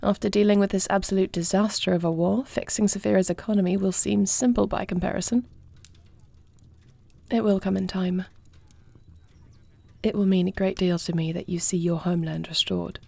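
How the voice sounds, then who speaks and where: A young dragon queen played by a woman speaks in a dark, mellow voice with a touch of fry. She is compassionate and regal, formal in her phrasing yet softly intimate. It is fantasy audio roleplay, recorded close and dry. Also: medium pitch, fairly even intonation, moderate pace, pauses often, dry recording. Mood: reassuring. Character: compassionate young dragon queen, self-doubting warrior bride.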